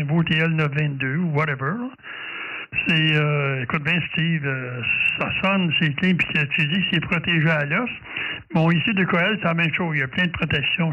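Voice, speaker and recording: High-voiced Quebec man, off-mic and muffled. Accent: Quebec French. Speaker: amateur radio operator filming the contact. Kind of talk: casual radio chat. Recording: dull, distant-mic home recording.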